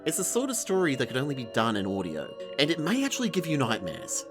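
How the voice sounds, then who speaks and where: A man's voice: medium pitch, huge pitch swings, fast talker, music in the background. A man giving a casual, scripted review in a wry, conversational way. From Australia. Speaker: reviewer, host.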